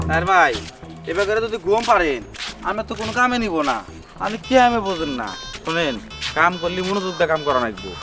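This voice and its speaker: A man acting an earnest worker in a comic skit, his voice fairly high. He explains and pleads, with music behind him. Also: sing-song, quick pace. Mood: lecturing. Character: apologetic worker making excuses for a sleepy workmate. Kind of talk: acted dialogue in a Bangla comic short drama.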